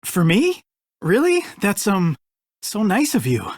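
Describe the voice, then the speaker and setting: An actress playing a polite, cautious young friend in a video game, earnest and a little hesitant. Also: female voice, mid-range voice, huge pitch swings, slow pace, a little mellow, no echo, clean studio sound, full character voice.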